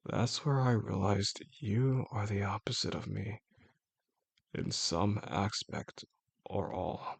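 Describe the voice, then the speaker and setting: A man acting as a possessive, obsessive male boss in an intimate audio drama. His voice is low and heavily creaky, with a husky rasp. He speaks slowly and deliberately, coaxing with calm menace and formal phrasing. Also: no echo, exaggerated voice. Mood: brooding, fascinated. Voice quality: gravelly, husky. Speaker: audio roleplay voice actor. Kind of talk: intimate scripted roleplay monologue.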